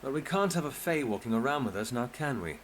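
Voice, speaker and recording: An actor playing a guarded, authoritative inspector in a game, speaking in a dry, measured, formal way. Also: male voice, mid-range voice, animated, slight background noise, natural voice.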